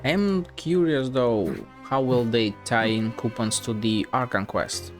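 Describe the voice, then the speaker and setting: A woman with an extremely low voice comments on a game she is playing. She thinks aloud in a casual, wry way. Faint music runs underneath. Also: unhurried. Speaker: game streamer.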